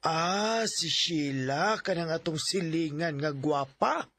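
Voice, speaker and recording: An actress with a Philippine Bisaya accent playing a nosy, teasing, husky middle-aged mother in a radio drama. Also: low-pitched, takes it slowly, a bit bright, no room sound, heavily acted. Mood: teasing, curious.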